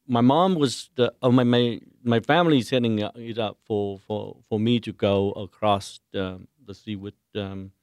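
Vietnamese accent priest, lowish smooth voice, lots of ums, dry mic. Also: male voice, steady tone, darkish tone, no room sound. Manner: halting, searching for words with many fillers. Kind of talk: radio interview answers. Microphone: studio microphone.

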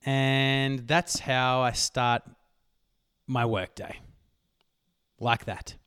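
A man hosting a solo motivational podcast, talking emphatically and swearing casually, his voice worn hoarse. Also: mid-range voice, flat delivery, very slow, a bit bright, no room sound, clean studio sound. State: losing his voice. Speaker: podcast host.

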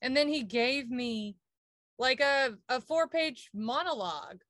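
A woman with a slightly higher voice chats animatedly on a podcast, throwing in exasperated asides as she tells her story. The sound is close and dry. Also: unhurried, a bit bright, dry recording. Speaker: podcast co-host. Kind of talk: casual chat.

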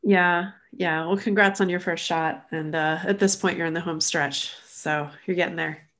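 A woman's voice: lowish voice, steady tone, moderate pace, a bit bright. A woman in her thirties, a design educator, chatting as a podcast guest, a little nasal from allergies. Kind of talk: casual interview.

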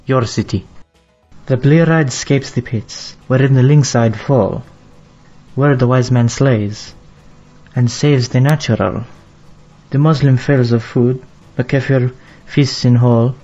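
A man's voice: mid-range voice, a little mellow, natural voice. Man acting a formal merchant storyteller, slightly muffled. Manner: formal, declamatory. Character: proud, sorrowful young merchant from Baghdad telling his tale. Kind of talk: theatrical audio drama storytelling in archaic English. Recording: dull lo-fi sound, faint music behind.

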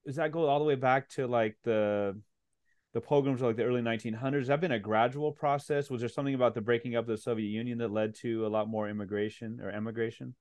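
A man's voice: medium pitch, steady tone, quick pace, darkish tone, no echo. Guy hosting a podcast, lots of um and you know, plain mid voice, dry sound. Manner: rambling, searching questions with many fillers. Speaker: podcast host. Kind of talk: casual interview conversation.

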